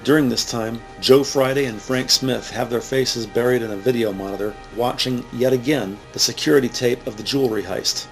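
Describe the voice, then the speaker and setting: A man narrating a scripted crime drama in a measured, matter-of-fact way. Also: medium pitch, poor audio. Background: music.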